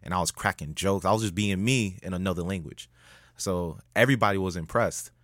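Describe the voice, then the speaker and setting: A man telling a story as a podcast guest, animated, jumping up in pitch when he jokes. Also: a little fast, dry recording, clean studio sound. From United States. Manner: animated, rises sharply in pitch when joking. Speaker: guest, public speaker.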